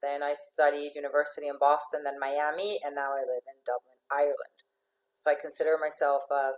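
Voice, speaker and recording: A woman's voice: sits low, poor audio. A woman from Finland, raised in Venezuela, giving a chatty talk as an astrological life coach, with many fillers and restarts. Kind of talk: informal talk to an online audience.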